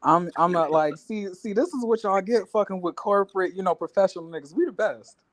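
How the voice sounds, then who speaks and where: A man's voice: high voice, fairly quick. A man on a casual panel, joking in a playful, crude way.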